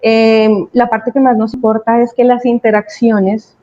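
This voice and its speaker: A woman's voice: on the high side, little pitch movement, measured pace, mellow. A woman giving a conversational company presentation, explaining things with emphasis. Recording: dull lo-fi video-call audio.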